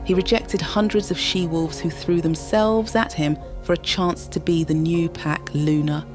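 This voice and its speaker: A woman with a low voice tells a story in dramatic, measured narration. Clear music plays under her the whole way. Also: steady tone. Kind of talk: scripted audio drama narration.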